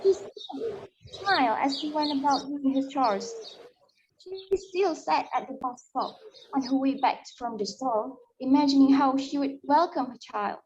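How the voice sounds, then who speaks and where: A woman playing a storyteller who recounts a sad ghost tale in a halting, emotive dramatic reading. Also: noisy background. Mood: sorrowful. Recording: muffled low-fidelity audio.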